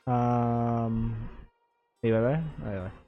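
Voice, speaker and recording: A man's voice: mid-range voice, completely flat delivery, takes it slowly, lo-fi sound. A man with a Filipino accent, reacting casually to a game on a live stream, mixing two languages. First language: Tagalog. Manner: code-switches between Tagalog and English. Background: game music.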